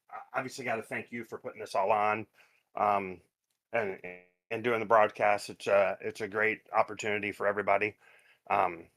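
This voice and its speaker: Race winner guy talking after the race, laid back and humble. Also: fairly low pitch. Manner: modest, relaxed, thankful. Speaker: winning race driver. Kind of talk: casual post-race interview.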